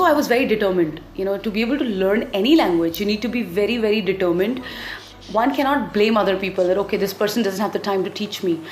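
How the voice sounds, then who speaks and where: A native Hindi-speaking woman with an Indian accent, sharing personal experience and advice in an encouraging, conversational way as a video host. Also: mid-range voice. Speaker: English communication trainer and video host. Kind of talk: personal story and advice to camera. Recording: roomy echo, music underneath.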